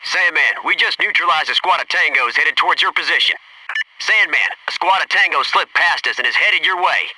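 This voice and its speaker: An actor playing a focused, businesslike Navy SEAL in a military video game, giving terse, clipped tactical callouts and matter-of-fact reports. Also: male voice, on the high side, brisk, bright, forceful tone, far from the mic, slight background noise, rough recording. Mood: comradely, brisk.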